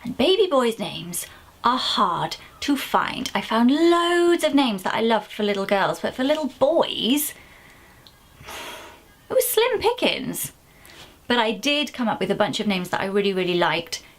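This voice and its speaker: A woman in her thirties from Wales, chatting casually to camera as a parenting vlogger, animated and jumping into a high voice for little asides.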